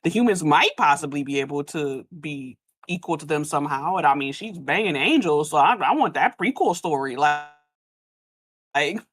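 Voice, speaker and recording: A woman talks casually as a podcast guest, informal and enthusiastic. Her voice sits slightly low and her phrasing is slangy. The sound is dry, with no room echo. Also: lively intonation, moderate pace, a bit bright, slightly rough. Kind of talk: casual chat.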